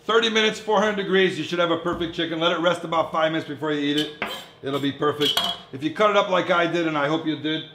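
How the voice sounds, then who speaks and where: A man's voice: a little high, quick pace. A man in his fifties talks as a chef hosting a cooking show. His delivery is informal and chatty, aimed at home cooks. The kitchen around him is echoey, with faint noise behind the voice. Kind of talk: casual cooking demonstration. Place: commercial-style kitchen, indoors. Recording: echoey room, some background noise, kitchen clatter.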